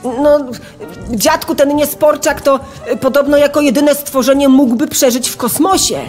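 A woman's voice: high-pitched, steady tone, normal speed, darkish tone, soft background music, lightly acted. A woman acting an eager, curious young boy explorer in a children's audio drama, full of wonder. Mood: excited. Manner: wondering, enthusiastic.

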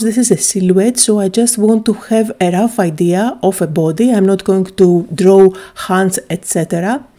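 A woman's voice: mid-range voice, warm tone. A woman from Greece, chattily and enthusiastically narrating a craft tutorial, with frequent little "uh" fillers.

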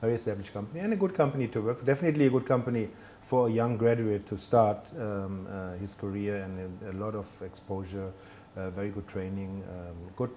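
A man's voice: on the low side, brisk, dark tone, slight background noise. A man, speaking as a guest in a studio interview, hesitant and self-deprecating with many fillers and restarts. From Germany. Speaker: guest, telecom executive. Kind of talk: conversational interview. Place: studio set with brick wall, indoors.

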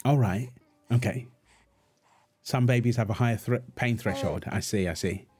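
A man playing a gentle, reassuring young father and husband in an intimate audio roleplay, talking in a soothing, affectionate, casual way. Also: medium pitch, moderate pace, husky, close to an everyday voice. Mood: amused, playful. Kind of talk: intimate comfort roleplay, casual one-sided dialogue.

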